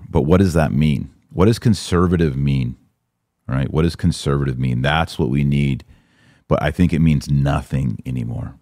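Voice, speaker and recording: Low-voiced American man, casual podcast host. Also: a little mellow, dry recording, studio quality. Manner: informal slangy talk. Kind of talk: casual live commentary, reading and answering viewer comments.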